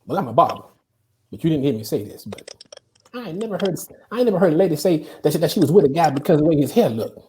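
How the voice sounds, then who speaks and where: A woman's voice: low voice, expressive, fast, darkish tone, a little hiss or hum. A woman playing a streetwise, brash male barber giving folksy, slangy advice in a comedy table read. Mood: brash, advising.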